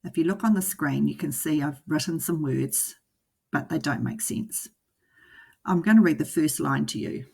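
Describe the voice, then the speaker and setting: A woman schoolteacher reading a story and giving instructions to young children, with deliberate, careful phrasing. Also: sits low, gravelly, a little mellow, husky. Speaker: primary school teacher. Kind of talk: storybook read-aloud and lesson for young children.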